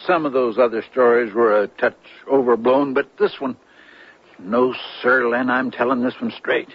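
A man's voice: mid-range voice, normal speed, dry recording, close to an everyday voice. An actor playing a flustered, earnest CB radio buff who stammers and insists his tall tale is true, in 1970s radio drama style. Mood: earnest insistence. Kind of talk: 1970s radio drama acting, recounting a story. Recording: dull 1970s radio broadcast, music behind.

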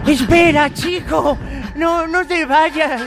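An actor playing a wary, eager old castaway pirate in a Spanish radio drama, halting and repeating himself, with a Castilian accent. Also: male voice, very high-pitched, vocal fry, background noise, heavily acted. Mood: urgent, cautious. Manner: halting, repeats words, excitable.

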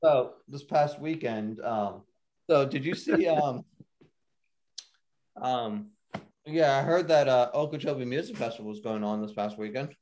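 A man's voice: mid-range voice, unhurried, a little mellow. A man co-hosting a casual podcast chat, rambling with lots of fillers and restarts. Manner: rambling, filler-heavy, repeats himself. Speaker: podcast co-host.

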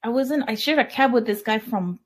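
A woman's voice: a little high, brisk. A woman, chatting casually and telling stories with quoted dialogue and occasional Spanish. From Canada, born in El Salvador. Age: 40s. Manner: animated retelling with quoted dialogue. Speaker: podcast co-host. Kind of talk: casual conversational storytelling with some Spanish mixed in.

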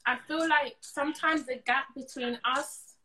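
Bright-voiced woman in casual, earnest chat. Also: high voice, steady tone, unhurried, poor audio. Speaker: event organiser being interviewed.

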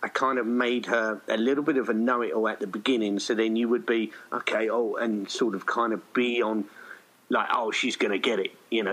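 A man's voice: on the low side, fairly bright tone, slightly rough, rough recording. A man chatting casually in an interview, rambling and hesitant as he thinks aloud. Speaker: writer-director of an audio play being interviewed.

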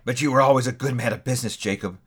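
A man's voice: animated, moderate pace, a bit bright. A man doing a stammering, frightened old miser. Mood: pleading. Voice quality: stammering, higher than the narration. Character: frightened old male miser, timid and pleading.

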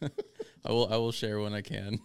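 A man co-hosting a casual barbecue podcast, telling easygoing stories in a relaxed way. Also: lowish voice, normal speed, creaky, smooth. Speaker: podcast co-host.

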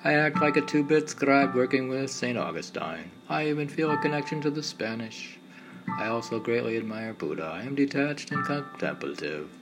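A man reading his own meditative poetry in declarative phrases with pauses, in a recitation style. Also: medium pitch, big pitch swings. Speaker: poet reading own work. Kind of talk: poetry recitation over background music. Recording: music playing under the voice. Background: background music.

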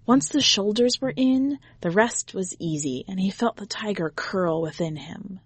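A woman's voice: mid-range voice, a little slow, husky, no echo. A woman reading a short story aloud as a podcast host, in scripted narration.

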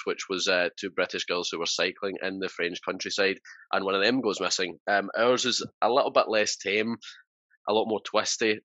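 A man's voice: low voice, bright, forceful tone, no room sound, muffled recording. A man from Scotland, a filmmaker guest chatting casually in an interview, with plenty of filler sounds.

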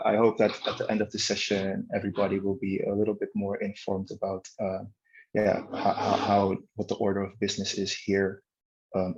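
A man's voice: low-pitched, gravelly, husky. A young man from Bonaire, a student, giving an earnest talk as guest speaker over a video call. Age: young adult. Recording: dull lo-fi call audio.

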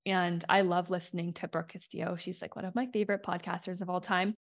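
A woman hosting an unscripted solo podcast as a coach. She sounds slightly raspy from a chest infection and talks candidly and reflectively, often checking in with "right?". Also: fairly low pitch, steady tone, quick pace.

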